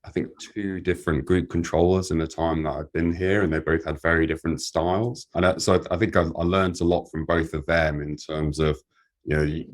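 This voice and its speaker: A man with an extremely deep, monotone voice talks about his work. He hesitates often, repeating words and reaching for fillers as he goes. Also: fairly quick. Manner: hesitant, many restarts and fillers. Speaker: guest, group controller. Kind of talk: podcast interview.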